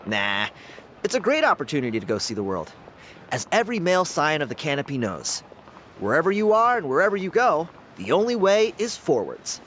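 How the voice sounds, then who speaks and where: A man's voice: fairly high pitch, fairly quick, slight background noise. An actor playing a friendly, laid-back young tribesman in a video game, talking in an easygoing, chatty way. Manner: easygoing, talkative, animated. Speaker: game character. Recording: game audio with loud music.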